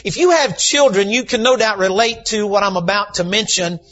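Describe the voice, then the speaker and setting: A man, a visiting preacher, slightly hoarse, telling folksy stories and building to shouted emphasis in a sermon. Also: sits high, moderate pace. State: slightly hoarse from lack of sleep. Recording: dull lo-fi church recording.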